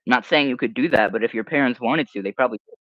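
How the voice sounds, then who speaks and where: A man's voice: mid-range voice, fast, dry recording. A young man whose first language is Hebrew, chatting casually on a livestream and reading comments, rambling and sometimes trailing off into mumbles. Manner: rambling, animated, drops to mumbles. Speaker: livestream host. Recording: dry, slightly muffled home mic.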